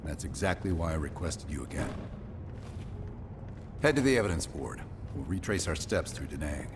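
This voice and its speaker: A man's voice: rumbling low, very animated, normal speed, background noise. An American man playing a terse, commanding Cold War CIA team leader in a cinematic game briefing. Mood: grave, quiet. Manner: terse, controlled, authoritative.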